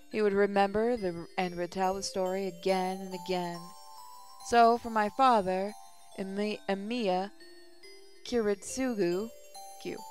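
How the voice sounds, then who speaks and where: A woman's voice: mid-range voice, darkish tone. A woman hosting a gaming channel, reading visual novel text aloud in a casual way. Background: music.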